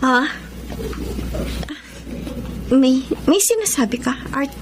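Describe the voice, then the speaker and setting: A woman's voice: high voice, animated, slow pace, noisy background. An actress playing a resolute, weary woman leaving her partner, in a firm, subdued radio drama manner. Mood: distracted, apologetic. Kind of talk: Tagalog radio drama acting.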